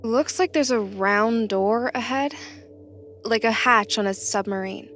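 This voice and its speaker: A woman's voice: fairly high pitch, unhurried, fairly bright tone, faint music behind. An actress playing a curious, hesitant woman explorer in an audio drama, talking in a tentative, naturalistic way. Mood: puzzled, tentative.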